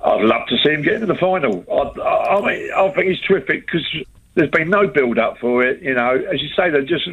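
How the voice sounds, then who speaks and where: A man's voice: fairly high pitch, expressive, fairly quick, fairly bright tone, breathy edge, highs cut off. A man in his fifties with a North West London accent, calling a radio phone-in and talking bluntly and emphatically. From England. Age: 50s. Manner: blunt, emphatic working-class talk. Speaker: caller.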